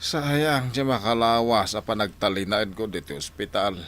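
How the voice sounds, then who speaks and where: A man's voice: lowish voice, moderate pace, fairly bright tone, close to an everyday voice. An actor playing a cantankerous, suspicious old village man in an Ilocano radio drama, grumbling and exclaiming with an Ilocano accent. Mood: weary, complaining.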